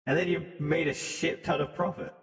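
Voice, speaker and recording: A man's voice: fairly high pitch, fairly even intonation, moderate pace. A man co-hosting a podcast in casual, joking banter, sweary and irreverent, through a voice modulator. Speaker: podcast co-host. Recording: echoey hall-like reverb on voice.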